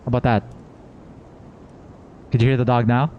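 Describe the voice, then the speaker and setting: A man doing a casual live gameplay reaction as a streamer, informally thinking out loud. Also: mid-range voice, little pitch movement, moderate pace, highs cut off, a little hiss or hum. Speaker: game streamer. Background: faint game music.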